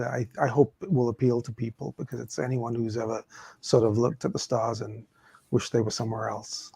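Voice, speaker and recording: A man from England, a guest author, speaking in a measured, thoughtful way. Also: mid-range voice, gravelly, darkish tone, no room sound. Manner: measured, thoughtful, slow in places. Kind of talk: casual interview talk.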